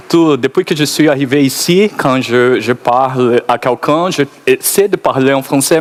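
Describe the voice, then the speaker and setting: A man from Brazil with a Brazilian Portuguese accent, a Portuguese native speaker, giving a hesitant, nervous, self-deprecating conference talk with many fillers. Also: mid-range voice. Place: conference stage.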